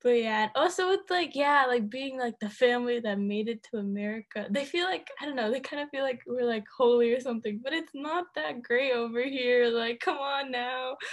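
A teenage girl chats casually on a podcast. Her voice sits a bit high. She rambles, leans on fillers and laughs as she talks. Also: animated, moderate pace. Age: teens. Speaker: podcast co-host. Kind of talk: casual chat.

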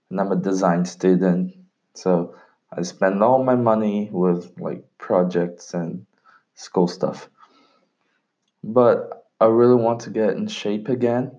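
Slow, mellow-voiced Brazilian young man. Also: on the low side, dull audio. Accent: Brazilian, with a North American tinge. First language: Portuguese. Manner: relaxed, rambling, swears casually. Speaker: podcast host. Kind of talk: casual solo podcast monologue.

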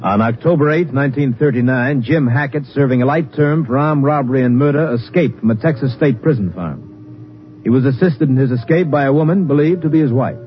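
Police-drama narrator, male, American, sober formal delivery, middle pitch, vintage lo-fi radio sound. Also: a little fast, natural voice. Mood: grave, factual. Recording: muffled old radio broadcast recording.

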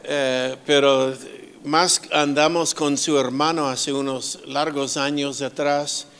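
A middle-aged man preaching as a guest speaker, slow and full of pauses and restarts, with humorous asides. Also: on the high side, flat delivery, a bit bright. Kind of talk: sermon.

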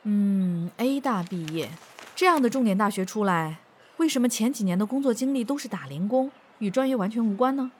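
Lady acting a sardonic hr woman, no-nonsense, very expressive, radio drama, bit of background noise. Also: mid-range voice, lightly acted. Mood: exasperated, businesslike. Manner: brisk, probing, wry. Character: no-nonsense, sardonic female personnel officer. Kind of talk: Chinese audio drama acting.

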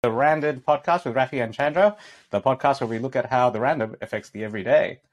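A man's voice: medium pitch, fast. A man with an Australian accent hosting a podcast in a casual, chatty interview style. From Gold Coast, Australia. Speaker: podcast host.

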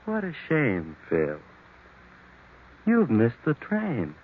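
A man playing an unhinged jealous husband in an old-time radio thriller, mocking and falsely calm with menace underneath. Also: medium pitch, drawn-out words, exaggerated voice. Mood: cold menace. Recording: muffled vintage radio recording.

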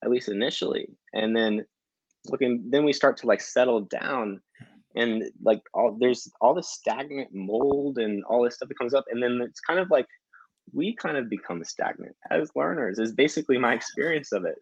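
A man's voice: mid-range voice, normal speed, breathy edge, no room sound, dull audio. A man as a podcast guest, musing thoughtfully with trailing restarts. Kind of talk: casual interview chat.

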